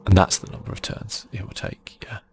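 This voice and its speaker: A man speaking softly and casually, thinking aloud as he gives ASMR commentary on a video game. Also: brisk, faint music behind. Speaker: gaming channel host.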